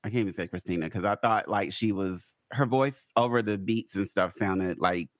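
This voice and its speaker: A man co-hosting a casual pop culture chat, talking in an animated, rambling way. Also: lowish voice, no echo, clean studio sound. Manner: animated, rambling, quick to rant. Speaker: podcast co-host.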